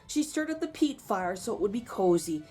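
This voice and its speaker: A woman reading a children's story aloud in an expressive way with dramatic emphasis. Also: mid-range voice, normal speed, breathy edge, soft background music. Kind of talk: expressive read-aloud for children with chatty asides.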